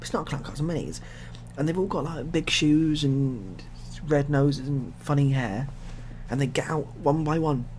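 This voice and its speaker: A man telling improvised stories in a soft-spoken ASMR style, with a rambling, repetitive, deadpan delivery. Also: on the high side, normal speed. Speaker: ASMR storyteller. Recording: clearly audible background noise.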